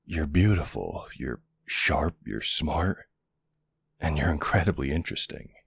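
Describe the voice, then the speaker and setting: A man playing a soft-spoken, courteous mafia boss in love, in an intimate boyfriend roleplay, with a low, gravelly, hushed voice and a tender, hesitant, earnest manner. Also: expressive, unhurried, no echo, clean studio sound, exaggerated voice. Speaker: audio roleplay voice actor.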